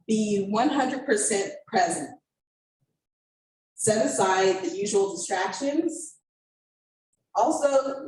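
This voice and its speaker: A woman co-facilitating an event, presenting discussion guidelines to a group in a measured, deliberate way. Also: mid-range voice, darkish tone. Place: indoor event room with online participants. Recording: echoey room, distant mic, faint noise.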